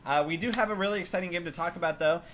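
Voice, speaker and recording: A man's voice: high voice, rapid-fire, fairly bright tone. A man hosting a gaming podcast in casual, conversational chat. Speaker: podcast host. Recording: clearly noisy amateur podcast recording.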